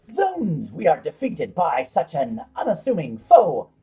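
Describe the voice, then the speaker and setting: Man playing an earnest drunk, husky, widely swinging voice. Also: fairly high pitch, huge pitch swings, unhurried, dark tone, soft background music, exaggerated voice. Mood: fussy, determined. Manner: theatrical, mock-solemn. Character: earnest, fussy male tavern drunk in fantasy comedy. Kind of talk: mock-archaic comic audio drama acting.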